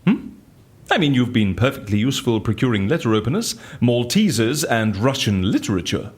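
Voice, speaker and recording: Actor as a wildly animated, witty British prisoner. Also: male voice, medium pitch, brisk, natural voice. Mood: probing, exasperated. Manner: theatrical, witty, quaintly exclamatory. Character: flustered, wry, talkative English male prisoner. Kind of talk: audio drama dialogue.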